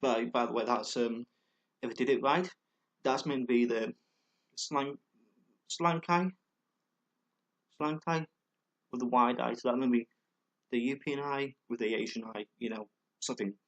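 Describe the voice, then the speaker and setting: Guy venting on his vlog, lots of ums and repeats, cusses when he gets worked up, normal pitch. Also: fairly even intonation, normal speed, pauses often. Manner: rambling, hesitant, with many fillers and repeated words; swears a lot and gets heated. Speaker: vlogger. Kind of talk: ranting monologue to camera.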